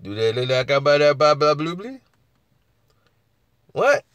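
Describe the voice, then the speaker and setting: A man with a husky voice hosting a casual live chat, laughing often and answering viewers. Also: a little high, sing-song, a little slow, a bit bright, dry recording, dull audio.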